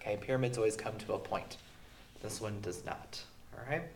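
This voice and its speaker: A man's voice: lowish voice, fairly even intonation, brisk, a little hiss or hum. Sick male teacher, echoey and distant. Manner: casual, filler-heavy explaining. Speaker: math teacher. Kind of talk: classroom lesson recording. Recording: echoey room, far from mic.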